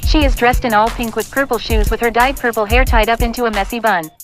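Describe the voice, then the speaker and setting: A woman's voice: on the high side, steady tone, quick pace, faint music behind. A woman reading scripted narration and stage directions for an audio play.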